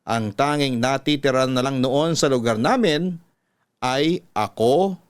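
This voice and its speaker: A man with a Filipino accent narrating listener letters as a radio host, in a measured, emotive scripted style. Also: mid-range voice, clear, resonant tone, no room sound. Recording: music bed under voice. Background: music.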